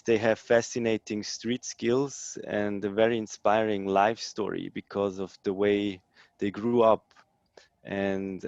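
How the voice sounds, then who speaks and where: A man in his thirties from Switzerland, answering interview questions in a hesitant way with many pauses and ums. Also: lowish voice, no echo, highs cut off. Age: 30s. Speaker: social entrepreneur guest.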